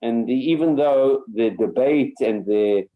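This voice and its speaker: A man teaching a Torah class over a video call as a rabbi, speaking haltingly with many fillers and repeated words. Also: medium pitch, phone-quality sound.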